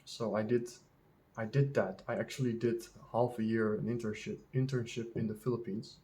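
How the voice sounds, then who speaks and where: A Dutch man in his thirties, a native Dutch speaker talking in English, vlogging in a casual unscripted monologue full of ums, pauses and restarts. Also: fairly low pitch, steady tone, mellow. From Netherlands. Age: 30s. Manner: halting, many ums and restarts.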